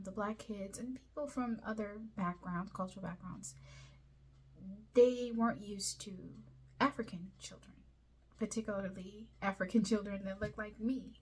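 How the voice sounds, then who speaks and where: A woman from Liberia who was raised in the United States, sharing a personal story with viewers in an earnest, deliberate, articulate way, with a husky, breathy voice. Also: mid-range voice. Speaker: host of a personal video series.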